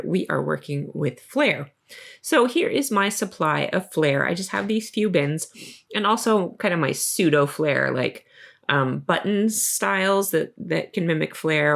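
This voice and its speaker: A woman with a slightly hoarse voice from a cold, hosting a casual craft tutorial. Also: sits low, a bit bright. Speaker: host of a crafting video channel.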